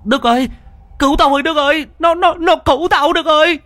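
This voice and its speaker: A cocky teenage show-off, played by a male storyteller speaks in a swaggering, boastful way. His voice climbs high above the narrator's own register. The recording is dry. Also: very animated, fairly quick, breathy edge, no echo. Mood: terrified. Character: cocky teenage village boy, show-off swimmer.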